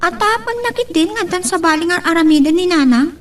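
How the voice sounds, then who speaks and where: A woman's voice: very high-pitched, animated, a little fast. A woman playing a caring, anxious young daughter in an audio drama. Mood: concerned.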